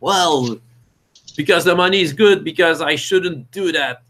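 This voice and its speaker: A man voicing a hurried male smuggler with a Hungarian accent, curt and pressing. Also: a little high, fairly bright tone, pitched above the performer's own voice. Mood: urgent.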